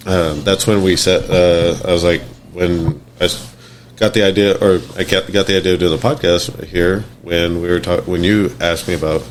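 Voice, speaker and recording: Middle-aged male podcast guest, deep and raspy, halting casual chat, noticeable background noise. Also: steady tone. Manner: rambling, halting, repeats words. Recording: clear background noise, room ambience.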